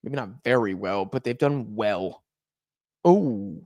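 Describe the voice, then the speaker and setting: A man hosting a live football watch-along, reacting excitedly to every chance and shouting at near misses, his voice going hoarse. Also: on the low side, takes it slowly, no room sound, clean studio sound. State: hoarse, losing voice.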